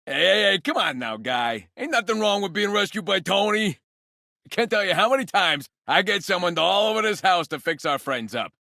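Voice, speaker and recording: A man playing a cocky, folksy handyman rescuer in a game, boastful and chummy, with a gravelly streetwise delivery. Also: high voice, very animated, moderate pace, pushed and bright, dry recording, studio quality, exaggerated voice. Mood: cocky, reassuring.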